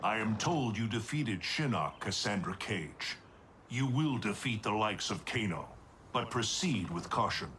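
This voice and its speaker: A wise, solemn thunder god with a low, calm voice. He speaks in measured, formal sentences as a mentor in this game cutscene. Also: a little hiss or hum. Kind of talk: video game cutscene acting.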